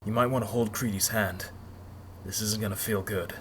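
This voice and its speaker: A calm, protective man acted out by a woman, pitched well down for a storybook refugee who tends the wounded. His manner is reassuring and plain-spoken, with faint noise in the recording. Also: normal speed.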